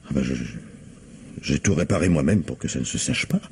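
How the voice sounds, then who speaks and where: A man's voice: very deep voice, animated, brisk, vocal fry, a little mellow, a little hiss or hum, full character voice. An actor playing an anxious, evasive middle-aged bourgeois businessman in a radio mystery, hesitant, stammering and deferential. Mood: worried, hesitant. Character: flustered, anxious, evasive 42-year-old bourgeois businessman husband. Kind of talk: radio mystery drama acting.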